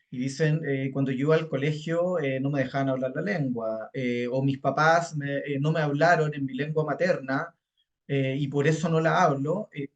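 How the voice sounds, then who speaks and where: A man co-hosting a podcast, speaking emphatically and repeating words for stress, with frequent fillers as he talks. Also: a little high. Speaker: podcast co-host. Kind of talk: conversational podcast chat.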